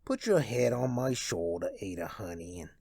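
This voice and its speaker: A man's voice: fairly low pitch, expressive, normal speed, a little mellow, breathy edge, no room sound, studio quality. A man playing an uneducated, falsely sympathetic suitor in a radio drama, consoling in slangy speech. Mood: mock sympathy. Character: uneducated, falsely sympathetic male rival wooing a widowed-seeming sweetheart.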